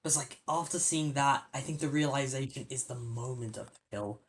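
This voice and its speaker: A man hosting a film podcast, talking casually in a rambling, halting way with many restarts. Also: mid-range voice, slightly rough. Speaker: podcast host. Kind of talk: casual film review chat.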